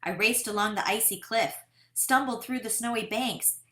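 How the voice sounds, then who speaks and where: A woman voicing an eager, determined boy who tells his own kite-flying tale in a dramatic, deliberate picture-book read-aloud. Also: a little high, fairly bright tone, natural voice. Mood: tense, dramatic. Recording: roomy, echoing sound.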